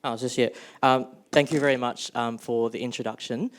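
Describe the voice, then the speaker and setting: A young man from Malaysia speaks on a panel at a middle pitch. He hedges and restarts often, with frequent ums. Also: steady tone, moderate pace. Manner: hesitant, many ums and restarts. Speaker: panel speaker, youth delegate. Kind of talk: panel talk.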